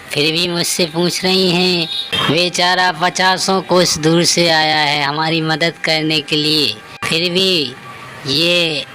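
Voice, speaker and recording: A man voicing an earnest character in a devotional cartoon tale, speaking deliberately and respectfully. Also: on the high side, bright, forceful tone. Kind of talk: cartoon story dialogue.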